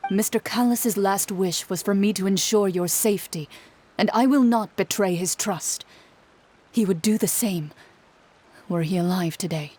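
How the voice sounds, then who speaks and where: A woman playing a cool, dutiful female duelist in a video game, speaking in a formal, measured, restrained way. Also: mid-range voice, a little mellow, close to an everyday voice. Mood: solemn.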